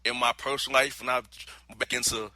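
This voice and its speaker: Fast-talking, bright-voiced male radio host. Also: medium pitch, flat delivery. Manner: rapid, loose and rambling, with laughing asides. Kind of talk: casual radio banter.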